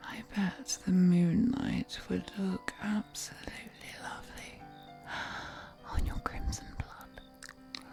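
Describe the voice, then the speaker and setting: Woman acting a slow, whispering, seductive ancient vampire lady. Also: on the low side, flat delivery, mellow, soft background music. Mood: tender, seductive. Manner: breathy whispering, slow, teasing, formal courtly phrasing. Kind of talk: intimate roleplay audio acting, mostly whispered.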